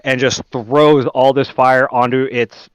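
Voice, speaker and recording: Smooth-voiced man playing a tabletop game. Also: mid-range voice, flat delivery, unhurried, dry recording. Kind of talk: casual game chat, thinking aloud.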